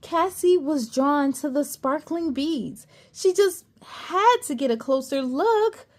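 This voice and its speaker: A woman storyteller reads a children's picture book aloud, her high voice rising and falling with lively expression. She takes an unhurried pace and breaks off for playful, chatty asides to her young listeners. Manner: animated, playful, gasps and comments to listeners.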